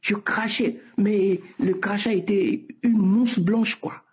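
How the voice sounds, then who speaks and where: A woman's voice: medium pitch, steady tone, slow pace, darkish tone. A woman giving an earnest spoken religious testimony, often repeating her words. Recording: muffled lo-fi recording.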